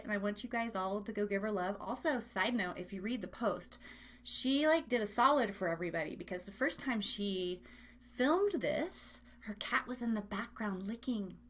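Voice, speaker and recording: A woman's voice: medium pitch, lively intonation, a little slow, fairly bright tone. A woman hosting a live online music show in a casual, chatty, gushing way. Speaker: radio show host.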